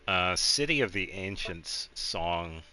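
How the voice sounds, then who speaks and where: A man's voice: low-pitched, expressive, slow, pushed and bright, soft background music. A man streaming a video game, chatting in a casual, easygoing and rambling way. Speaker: game livestreamer. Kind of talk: casual chat while playing a game.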